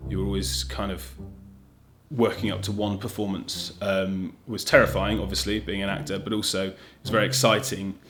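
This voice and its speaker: A man's voice: sits low, little pitch movement, normal speed, fairly bright tone. A man, an actor, telling anecdotes in a casual interview style. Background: guitar music.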